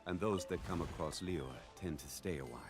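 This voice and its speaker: Game role: male actor as a calm, reflective man, very low register, music underneath. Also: brisk, close to an everyday voice. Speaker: video game character. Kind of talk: scripted game dialogue.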